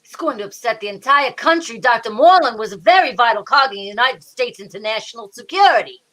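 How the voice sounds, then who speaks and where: A sardonic homicide cop acted out by a woman, pitched fairly high. He trades hard-boiled patter in 1940s radio detective style, world-weary and quick with a wisecrack. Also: expressive, fairly bright tone, rough recording. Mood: urgent, exasperated.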